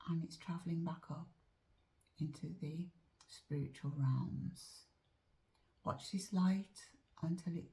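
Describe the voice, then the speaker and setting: Softly whispering woman guiding meditation. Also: fairly low pitch, low-quality recording. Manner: soft, often whispered delivery; slow and drawn-out. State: losing her voice, chesty. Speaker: meditation teacher. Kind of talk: guided meditation.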